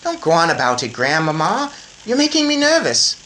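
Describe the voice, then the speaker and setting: A woman's voice: on the low side, wildly swooping pitch, moderate pace, fairly bright tone, slight background noise, voice pushed up. A woman voicing a small, plucky boy turned mouse in a storybook, earnest and eager. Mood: nervous.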